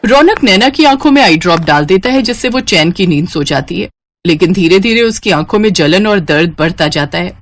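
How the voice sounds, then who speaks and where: A woman narrating an animated family storybook in an even, storytelling way. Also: lowish voice, brisk.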